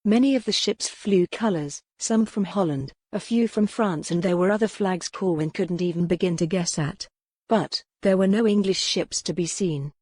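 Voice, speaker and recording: A woman narrating a historical romance audiobook in a measured, careful storytelling manner. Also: lowish voice, darkish tone, no room sound.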